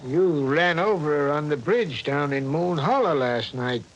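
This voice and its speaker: A stammering small-town sheriff. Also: male voice, fairly high pitch, expressive, measured pace, lo-fi sound. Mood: stern. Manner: stammers when shaken. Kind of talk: 1940s radio acting.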